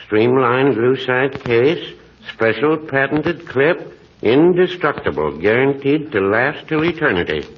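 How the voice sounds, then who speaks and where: An actor playing a mild, cautious older small-town druggist, hesitant and dryly noncommittal, in 1940s radio comedy style. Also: male voice, medium pitch, animated, a little slow. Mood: mild, droll. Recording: muffled, hissy 1940s radio recording.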